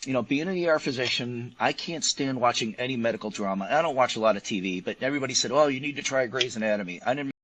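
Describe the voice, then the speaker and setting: A man speaking as an interview guest in casual conversation, explaining details in an animated, colloquial way. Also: medium pitch, fast talker, few pauses, a little hiss or hum, low-quality recording. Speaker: guest, physician and novelist.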